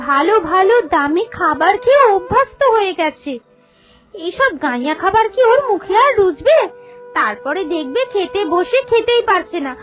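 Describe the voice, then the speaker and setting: A woman's voice: extremely high pitch, poor audio. A woman voicing a mocking older village auntie in a cartoon, disdainful and nosy. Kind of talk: animated cartoon dialogue.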